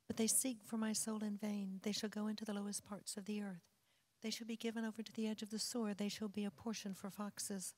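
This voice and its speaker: Woman, liturgical chanter, dark mellow tone, almost flat monotone delivery. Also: mid-range voice, a little fast, rough recording. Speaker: liturgical reader. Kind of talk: chanted liturgical psalm reading.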